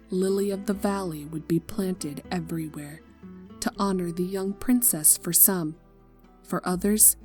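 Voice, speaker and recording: A woman reads a tragic story aloud with a somber, hushed delivery in a fairly low voice. Her lines often fade into near-whispers. Soft guitar plays faintly behind her. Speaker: fanfic reader. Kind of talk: scripted narration of a tragic story. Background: soft guitar music.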